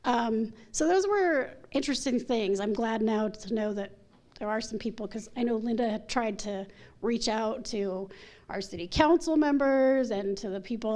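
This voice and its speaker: A middle-aged woman with a fairly high voice speaks up at a community meeting. She sounds chatty and earnest and slips small laughs in between her points. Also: a little mellow. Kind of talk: public comment at a meeting.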